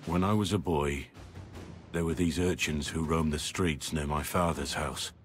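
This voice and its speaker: Casting: grave middle-aged warrior, guilt-ridden, earnest and deliberate, very low voice, game drama with music underneath. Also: male voice, fairly even intonation. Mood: bitter, remorseful. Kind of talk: dramatic video game acting.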